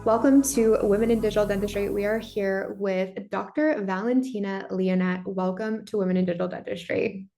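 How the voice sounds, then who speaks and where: Woman podcast host, kinda gravelly voice, super enthusiastic, faint music behind. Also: medium pitch, steady tone, moderate pace, few pauses, mellow. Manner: gushing, enthusiastic. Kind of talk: podcast interview, scripted intro.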